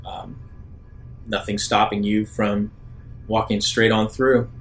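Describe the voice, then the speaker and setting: A man working as a game master, improvising narration for a tabletop game in a halting, deliberate way. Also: level tone, a bit bright, faint music behind. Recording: rumbly background noise.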